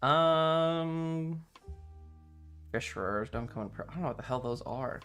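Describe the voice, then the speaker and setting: A man's voice: on the high side, slow pace, a bit bright, clear, resonant tone, faint music behind. A man chatting casually on a livestream to his viewers, rambling and playfully dramatic. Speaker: live streamer.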